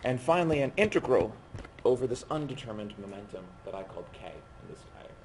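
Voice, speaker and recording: A man giving a physics lecture while losing his voice, speaking haltingly and often breaking off mid-phrase. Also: medium pitch, expressive, darkish tone. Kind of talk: university lecture at a board. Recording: noisy classroom recording.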